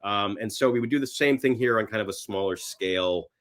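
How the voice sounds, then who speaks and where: Low-voiced man chatting as podcast guest. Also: fairly quick. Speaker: actor and screenwriter. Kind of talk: casual interview chat.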